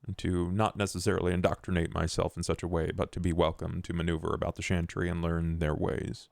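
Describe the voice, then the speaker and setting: A man playing an ambitious, scheming vampire aristocrat in a tabletop game, speaking in formal, wordy, measured phrases. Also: low voice, flat delivery, gravelly, dry recording, studio quality. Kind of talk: in-character tabletop roleplay.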